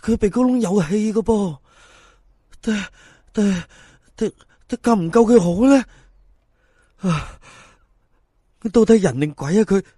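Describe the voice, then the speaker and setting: A man's voice: high-pitched, unhurried, pauses often, dark tone, husky, dry recording, studio quality, pitched above the performer's own voice. A man voicing a plain-spoken, superstitious river boatman from a folk tale, with a Hong Kong Cantonese accent and colloquial speech. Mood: panicked, stammering. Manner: colloquial, stammering when scared.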